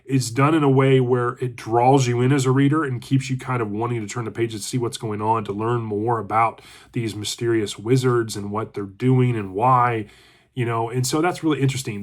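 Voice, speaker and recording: Congested guy doing a laid back book review, chatty, kinda thinking out loud. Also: mid-range voice, fairly even intonation, moderate pace. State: congested from allergies. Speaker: book reviewer and channel host. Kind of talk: casual book review talk.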